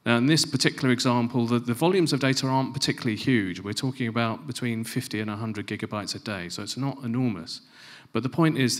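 A middle-aged man giving a conference presentation on a large stage into a handheld mic, stressing key phrases and dropping to quieter asides. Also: medium pitch. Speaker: conference speaker. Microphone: handheld microphone.

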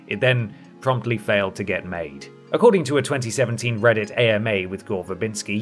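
A man with a mid-pitched voice reads a scripted countdown. His delivery is wry, dropping in tongue-in-cheek asides, and music plays underneath him. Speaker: presenter of a video game list show. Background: music bed.

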